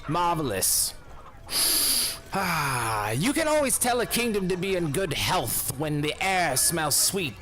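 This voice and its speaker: A man's voice: very animated, slow, a bit bright, exaggerated voice. An actor playing a vain, courtly young lord in his thirties, florid and theatrical, turning bitter and brooding. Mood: flattering. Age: 30s. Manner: florid, swooping and courtly, sinking low and brooding when upset. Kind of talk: audio drama acting. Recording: music bed under the voice.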